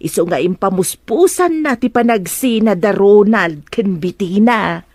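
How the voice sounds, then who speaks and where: A woman's voice: mid-range voice, expressive, a little slow, darkish tone, no echo, clean studio sound. Woman acting a nosy, swooping household helper. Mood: gossipy. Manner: exclamatory, chatty, insinuating. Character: nosy, excitable, gossiping female household helper. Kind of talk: Ilocano melodrama acting.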